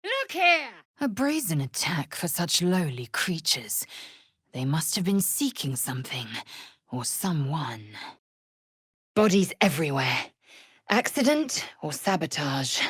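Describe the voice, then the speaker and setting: A fierce alien warrior woman from a fantasy game, with a low, very raspy voice. She is imperious and disdainful, and her formal, clipped lines swing widely in pitch. The sound is dry and close. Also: big pitch swings, a little slow, no echo, exaggerated voice. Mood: urgent, wary, then grave, assured. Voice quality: husky, raspy. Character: fierce, haughty githyanki warrior woman, blunt and devout. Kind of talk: video game character voice lines.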